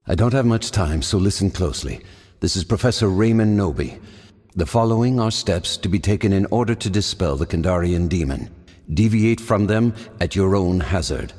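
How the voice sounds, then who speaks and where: Deep, husky, grave old professor. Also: male voice, darkish tone, long reverb tail. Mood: grave, urgent. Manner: solemn, formal, portentous. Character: remorseful, grave old male professor leaving doomsday recordings. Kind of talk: dramatic scripted game narration.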